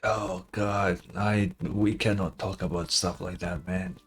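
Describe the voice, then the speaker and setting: A young man with a very deep voice streams his reaction to a video game. He talks casually and off the cuff. Game music plays softly behind him. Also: steady tone, slow pace, slightly rough.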